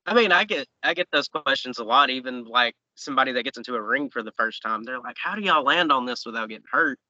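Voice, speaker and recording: A man in his late twenties with a drawl talks in a loose, rambling way, full of fillers and restarts. His voice is very bright and forceful. The sound is dry and a little dull, like a podcast mic. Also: medium pitch, expressive, fast, no room sound. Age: 20s. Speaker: professional wrestler guest. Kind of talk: casual interview chat.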